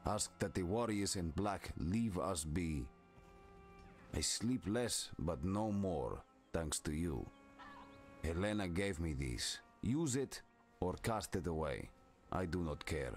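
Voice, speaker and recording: A grim warrior of a tribe, speaks in a deep voice. His delivery is slow, terse and distrustful, with soft music underneath. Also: animated, heavily acted. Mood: grim, dismissive. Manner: terse, blunt. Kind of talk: video game character dialogue.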